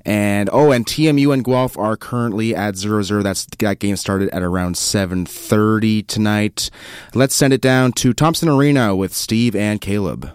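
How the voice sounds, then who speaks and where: A smooth, low-pitched man's voice recorded dry and close. He is a campus radio sports host running through scores at a brisk clip. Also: no room sound. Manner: brisk, reads scores with small slips.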